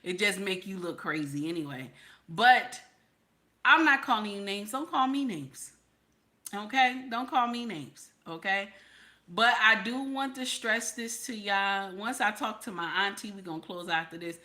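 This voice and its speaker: Bright, emphatic woman live-streaming. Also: mid-range voice, measured pace. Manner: emphatic, keeps repeating "okay?" to her audience; reassuring, frank and conversational, with some swearing. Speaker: livestream host. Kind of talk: casual livestream talk to viewers.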